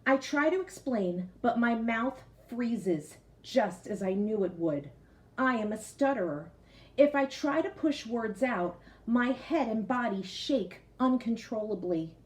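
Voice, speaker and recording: A woman's voice: fairly high pitch, animated, unhurried, darkish tone. A woman speaking as a teacher in a read-aloud lesson for young children, explaining things simply and often checking that they follow. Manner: explains simply, with frequent checking "okay?" and recaps. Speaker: teacher reading a picture book aloud to children.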